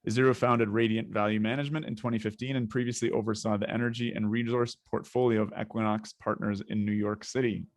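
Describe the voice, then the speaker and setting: A Canadian man hosting a podcast interview, talking in a relaxed way with hesitant fillers. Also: on the low side, quick pace, hardly any pauses. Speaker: podcast host.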